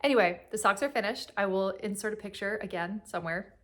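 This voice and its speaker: Young female podcast host, casual and rambling, mid-pitched, sniffly from a cold. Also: fast talker, a bit bright. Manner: rambling, chatty, with frequent fillers and laughs. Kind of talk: casual chat.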